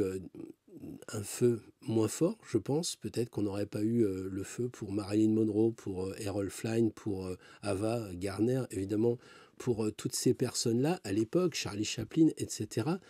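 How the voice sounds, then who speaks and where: A male astrologer talks through his reading in a loose, rambling way, full of fillers and side remarks. His voice is dark and a little husky, recorded dry with no room sound. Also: medium pitch, no room sound. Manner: rambling, with many fillers and asides. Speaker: astrologer and tarot reader. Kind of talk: casual spoken commentary.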